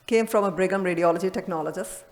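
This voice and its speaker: A woman's voice: lowish voice, quick pace, darkish tone. A woman giving an earnest, emphatic medical conference lecture as a presenting radiologist, recorded in a reverberant hall.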